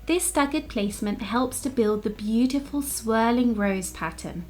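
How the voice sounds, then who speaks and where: A woman with a fairly high-pitched voice narrates a scripted how-to for crafters. She explains things step by step, in an instructional style that is easy to follow. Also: a little slow, a little mellow. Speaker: craft tutorial presenter.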